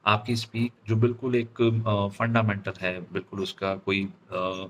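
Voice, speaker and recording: Low-pitched Indian man teaching, noisy recording. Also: completely flat delivery, unhurried. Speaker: public speaking coach. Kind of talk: instructional lecture on voice modulation.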